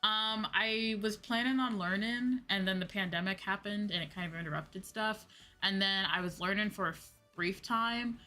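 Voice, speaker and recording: A woman's voice: mid-range voice, fairly even intonation, normal speed, bright, forceful tone. An American woman chatting casually on a livestream in an informal, slangy, rambling way. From United States. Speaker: VTuber streamer, host. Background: background music.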